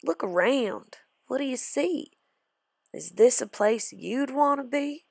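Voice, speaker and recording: Casting: lonely, plaintive small cowboy boy for a storybook, played by a woman, tearful, pitched up. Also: animated, slow, vocal fry, dry recording, studio quality, exaggerated voice. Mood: lonely pleading. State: sobbing.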